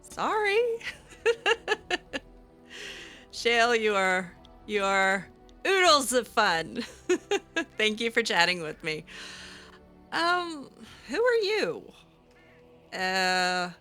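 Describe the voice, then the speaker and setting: A woman roleplaying aloud with game characters, her bright voice rising and falling a lot. She takes her time and stumbles through ums and restarts, often breaking into giggles. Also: on the high side, big pitch swings, very slow, long pauses, faint music behind.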